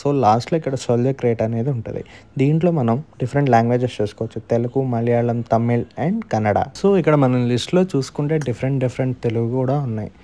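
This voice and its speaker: A man's voice: mid-range voice, fast talker, dark tone. A man with a Telugu Indian accent narrating a tutorial as a gaming channel host.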